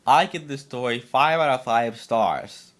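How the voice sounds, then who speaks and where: A man's voice: mid-range voice, measured pace, a bit bright. A man reading aloud as an amateur narrator, now and then stumbling over his words. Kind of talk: dramatic story reading by an amateur.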